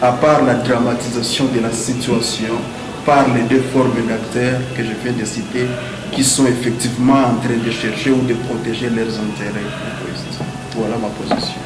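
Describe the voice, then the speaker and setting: A man's voice: mid-range voice, level tone, normal speed. A man with a Congolese French accent giving an argumentative debate speech, emphatic and rhetorical, repeating phrases for emphasis. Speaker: debate speaker. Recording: echoey room with background noise.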